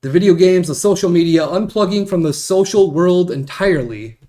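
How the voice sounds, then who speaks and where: A man's voice: high-pitched, normal speed, warm tone. A man hosting a podcast interview over a video call, talking in an easygoing, candid way. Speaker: podcast host. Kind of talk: casual interview.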